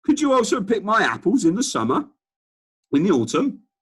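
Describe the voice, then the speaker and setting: A man's voice: high-pitched, wildly swooping pitch, moderate pace, breathy edge, pitched above the performer's own voice, full character voice. A man voicing a pompous, short-tempered old duke who bellows and splutters. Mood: mollified. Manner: blustering, shouting.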